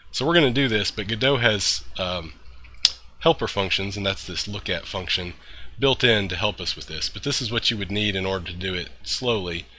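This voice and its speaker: A congested man walks through a coding tutorial in a relaxed, step-by-step way. His voice sits fairly low but has a very bright, forward edge. A faint hiss runs under the recording. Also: moderate pace. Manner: relaxed, talks through steps with fillers and throat-clearing. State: congested from a cold. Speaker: programming tutorial host.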